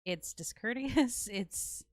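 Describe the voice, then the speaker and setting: A woman's voice: a little high, animated, slow, no echo, studio quality. A Midwestern American woman hosting a podcast, talking bluntly in casual chat and swearing freely. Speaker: podcast host.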